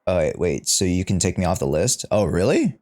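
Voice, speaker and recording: A man's voice: low voice, sing-song, normal speed, darkish tone. A man livestreaming a casual, slangy reaction to a game, joking and rambling as he goes.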